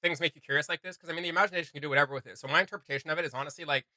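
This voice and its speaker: A man co-hosting a reaction video, chatting casually in an animated, rambling way. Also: on the high side, rapid-fire, no room sound.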